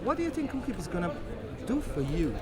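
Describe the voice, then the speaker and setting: A woman's voice: on the low side, big pitch swings, quick pace. A woman from Italy with an Italian accent, asking passers-by direct, probing questions on a busy town street. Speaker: interviewer. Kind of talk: street vox-pop interview.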